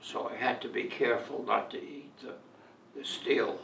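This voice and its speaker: Elderly man, gravelly voice, halting storyteller, echoey room, recorded at a distance. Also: medium pitch, steady tone, fairly bright tone. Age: 80s or older. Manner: slow, halting, deliberate. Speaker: interviewee. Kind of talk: reminiscing, personal storytelling. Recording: echoey room, distant mic, faint noise.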